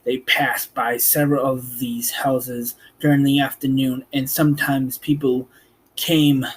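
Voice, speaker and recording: A man narrating a storybook in an amateur, somewhat halting way. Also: mid-range voice, slightly rough, poor audio. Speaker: host reading an audiobook.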